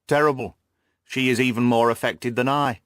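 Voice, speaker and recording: A man's voice: mid-range voice, fairly even intonation, moderate pace, a bit bright, clear, resonant tone, no room sound, lightly acted. A man voicing an anguished older banker, a dignified and despairing father, in an emotional, lamenting audiobook performance. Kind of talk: audiobook character acting.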